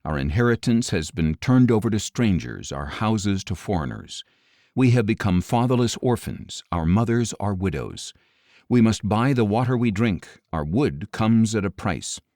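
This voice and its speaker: Male scripture narrator, lower register, solemn and deliberate phrasing, very dry studio-style sound. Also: expressive, a little mellow, dry recording. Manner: measured, grave delivery with deliberate phrasing. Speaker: audiobook narrator.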